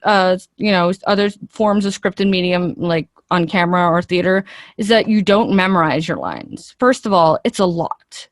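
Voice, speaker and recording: Female interviewee, actress. Lower-leaning voice, very little room sound, earnest and chatty, works out answers as she talks. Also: animated, moderate pace, dry recording, clean studio sound.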